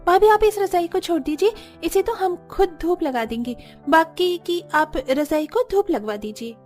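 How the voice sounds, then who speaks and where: A woman's voice: very high-pitched, normal speed, darkish tone, faint music behind. A woman voicing a bratty, lazy young sister-in-law in a cartoon, whiny and demanding. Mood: bossy. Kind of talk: Hindi cartoon dialogue acting.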